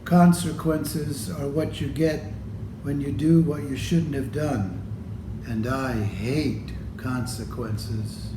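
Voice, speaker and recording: A man reads a picture book aloud for children. His delivery is very slow and expressive, addressed straight to young listeners. The room is echoey, with clear noise behind the voice. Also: medium pitch. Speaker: storybook reader. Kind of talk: children's read-aloud storytelling.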